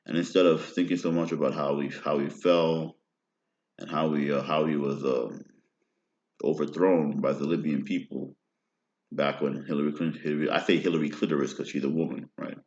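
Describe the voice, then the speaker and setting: Very deep-voiced man rambling, roomy and dull. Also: fairly even intonation, moderate pace, darkish tone. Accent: American. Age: 30s. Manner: meandering, often ends phrases with "right?". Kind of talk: rambling personal monologue.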